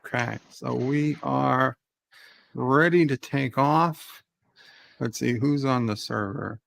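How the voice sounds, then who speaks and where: Slow gravelly man. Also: mid-range voice, fairly bright tone, poor audio. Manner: slow, methodical, thinking aloud. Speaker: streamer playing a game. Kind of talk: casual gameplay narration.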